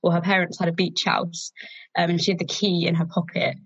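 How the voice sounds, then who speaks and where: A woman's voice: on the low side, flat delivery, fairly quick, slightly rough, no room sound, lo-fi sound. A twenty-year-old woman co-hosting a casual podcast, light and giggly as she talks. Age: young adult. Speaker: podcast co-host.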